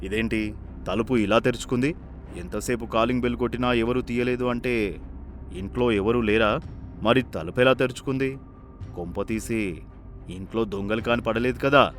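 A man's voice: medium pitch, moderate pace, pauses often, faint music behind, natural voice. A man playing an authoritative, caring older village father who instructs and scolds in a cartoon moral story. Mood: puzzled, wary. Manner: firm, instructive. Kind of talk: animated moral-story voice acting.

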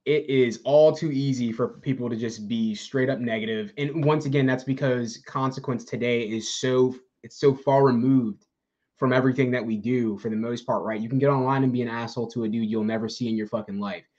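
A man's voice: medium pitch, fairly even intonation, brisk, a little mellow, lo-fi sound. A young man hosting a candid chat over a video call, talking casually and rambling with lots of swearing. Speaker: podcast host, infantry soldier. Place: room at home, gaming chair.

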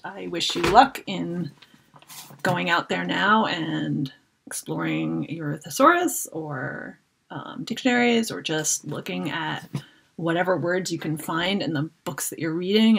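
A middle-aged woman author reading a children's fantasy story aloud in an expressive, dramatic way. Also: lowish voice, measured pace, creaky, slightly rough. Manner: animated, dramatic reader. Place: book-lined room at home.

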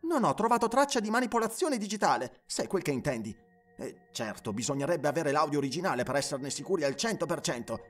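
A man voicing a smug, excited young ghost-hunting sleuth, eager and teasing in an audio story. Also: on the high side, quick pace, far from the mic, faint music behind, pitched above the performer's own voice. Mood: smug, explaining. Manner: eager, teasing, pleased with himself.

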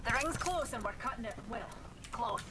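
A woman's voice: fairly high pitch, animated, normal speed, close to an everyday voice. A woman voicing a prim game heroine. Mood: fussy. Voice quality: prim, chiding, calls everyone dear. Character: posh British woman game character, fussy. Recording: game audio with loud background noise.

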